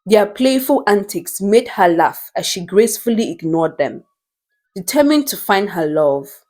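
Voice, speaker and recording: A woman narrating a scripted story in a deliberate, dramatic storytelling style. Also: mid-range voice, animated, darkish tone.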